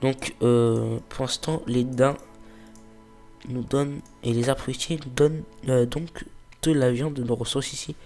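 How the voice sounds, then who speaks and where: A man's voice: mid-range voice, steady tone, a little slow, darkish tone, soft background music. A man with a stuffy nose from a cold, casually commenting on a mobile game he plays, hesitant and full of fillers, sometimes reading the game's dialogue aloud. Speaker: let's play host.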